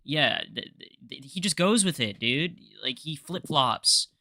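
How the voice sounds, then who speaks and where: Bright-voiced young man, casual streamer. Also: a little high, expressive, unhurried, dry recording. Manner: laughs a lot, swears casually, stammers on restarts. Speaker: commentary streamer. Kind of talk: casual reaction chat.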